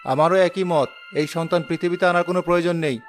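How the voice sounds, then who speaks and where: A man's voice: a little high, quick pace, natural voice. A man voicing a callous young husband in a cartoon, curt and stern. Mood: dismissive. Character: callous young husband, obedient to his mother. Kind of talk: cartoon voice acting. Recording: music behind the voice.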